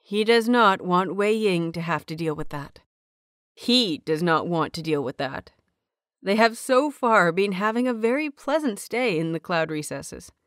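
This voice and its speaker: A woman narrates a piece of written fiction. Her voice sits a little low for a woman, and the sound is dry, with very little room around it. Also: animated, a little slow, fairly bright tone, no echo. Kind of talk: scripted narration of a fan fiction story.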